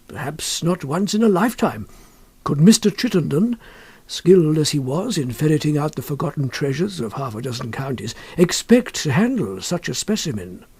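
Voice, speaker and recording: A man's voice: fairly high pitch, a little mellow, breathy edge, no echo. A man reading a literary story as an audiobook narrator.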